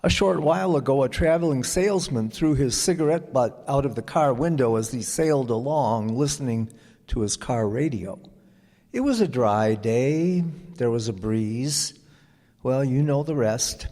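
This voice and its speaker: A man reading a script as an old-time radio announcer, with measured, deliberate phrasing. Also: medium pitch, animated, mellow. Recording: echoey hall with audience present.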